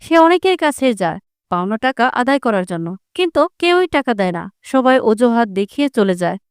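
Casting: female story narrator, high clear voice, scripted delivery. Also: sing-song, a little fast, no room sound, clean studio sound.

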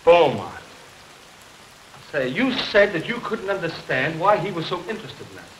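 A man's voice: on the high side, sing-song, moderate pace. A man playing an anxious, earnest young man in a 1930s film acting style. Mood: worried, urgent. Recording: hissy, noisy 1930s film soundtrack. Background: rain and hiss.

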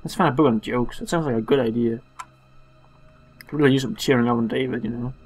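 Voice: mid-range voice, fast talker, a little mellow, husky, faint music behind. A let's player giving playful game commentary in a goofy, sarcastic, theatrical way.

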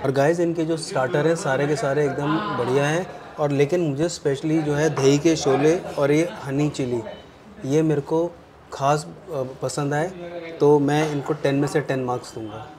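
Male vlog co-host, upbeat, slightly high voice, crowd noise behind. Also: steady tone, moderate pace. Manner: upbeat, mixes English phrases. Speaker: travel vlogger co-host. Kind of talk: casual vlog commentary. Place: hotel restaurant and balcony.

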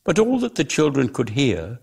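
A man giving an expressive, theatrical reading of lyrical prose as a measured storyteller. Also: medium pitch, vocal fry, slightly rough. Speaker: reader of a dramatic story.

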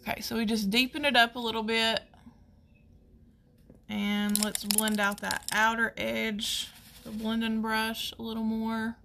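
Southern lady doing a makeup tutorial, bright n creaky, rambles like she's thinking out loud. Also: a little high, level tone, a little slow, slight background noise. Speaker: makeup artist hosting a tutorial. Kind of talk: casual chatty makeup tutorial.

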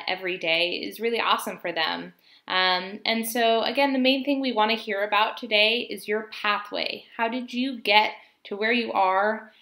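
A woman's voice: medium pitch, a bit bright. A young woman moderating a video call panel, friendly and organizing, with frequent fillers. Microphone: webcam mic on video call.

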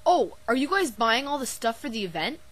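A woman's voice: on the high side, sing-song, fairly quick. Woman as a mild schoolboy, very noisy recording. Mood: mild, friendly. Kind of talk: amateur anime fan dub acting. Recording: noisy home microphone with clicks.

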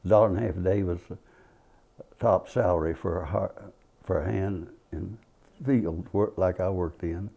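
A man's voice: low voice, normal speed, gravelly, dark tone, husky, dull audio. An elderly American man, a war veteran, recollecting in an interview on a lapel mic. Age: 70s or older. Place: indoor interview studio.

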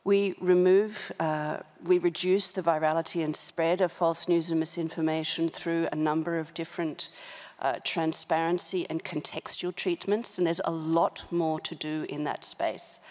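A middle-aged woman speaking as a panelist at a policy forum, measured and halting, with formal vocabulary, into a desk microphone. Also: low voice, flat delivery, darkish tone. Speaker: panel speaker, company human rights director. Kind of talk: prepared remarks at a policy forum panel, spoken off the cuff. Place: large indoor conference hall. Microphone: desk gooseneck microphone, close.

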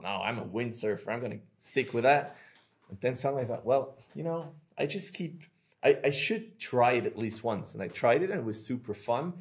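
A man in his thirties from Switzerland with a Swiss accent, hosting a podcast over a video call and telling stories in a chatty, animated way from a small room at home. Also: medium pitch. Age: 30s. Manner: chatty, animated storytelling with hand gestures. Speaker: podcast host. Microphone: video call with earbuds.

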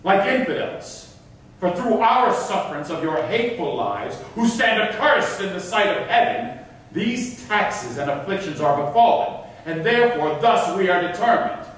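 A man's voice: high voice, lively intonation, normal speed, fairly bright tone. An actor playing a scornful, imperious governor in a classical verse stage reading, declaiming his commands. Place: stage of an auditorium, indoors. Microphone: distant from the audience area. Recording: echoey concert hall, far microphone.

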